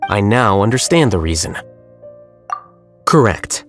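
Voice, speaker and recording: An actor playing an aloof, blunt young scholar who speaks in a dry, matter-of-fact way in a game cutscene. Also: male voice, fairly low pitch, big pitch swings, a little slow, faint music behind. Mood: cool.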